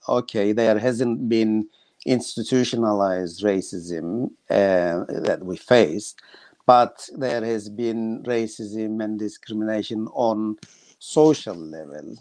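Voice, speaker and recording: A man's voice: on the low side, slow pace, darkish tone, dry recording. An older man hosting an online panel over a video call, asking questions and laughing often. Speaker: host.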